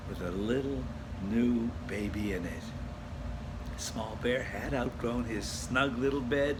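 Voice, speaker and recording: Male reader for kids' storybook, mid-pitched, expressive with chatty asides, audible background noise. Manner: dramatic, addresses listeners.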